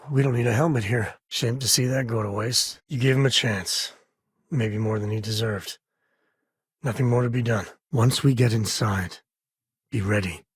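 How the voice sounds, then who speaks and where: An easygoing space ranger and protective father for a video game. His voice is husky and sits in a middle male range. He talks in a wry, folksy, conversational way, recorded very dry. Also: mid-range voice, dry recording. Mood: wry, casual, then firm, alert. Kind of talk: video game character dialogue.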